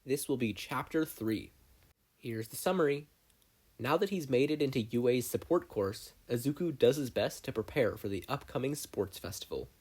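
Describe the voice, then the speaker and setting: A podfic narrator reading scripted fiction aloud in an expressive storytelling style. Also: mid-range voice, moderate pace, slightly rough.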